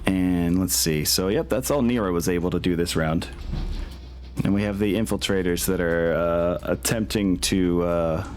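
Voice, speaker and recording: A man's voice: deep voice, normal speed. A man narrating a tabletop game as game master, informal and profane, often thinking aloud.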